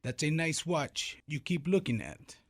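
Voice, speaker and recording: Radio comedy: grandiose, dreamy surrealist painter, unhurried and deliberate, theatrical and cryptic. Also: male voice, on the high side, animated, husky, exaggerated voice. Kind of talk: comic radio play acting.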